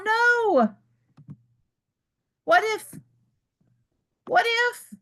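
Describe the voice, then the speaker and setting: A woman voicing an anxious, dramatic male elephant in a children's picture book, in a halting, exclaiming way. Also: very high-pitched, pitched above the performer's own voice, full character voice. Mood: panicked. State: sneezing, acted.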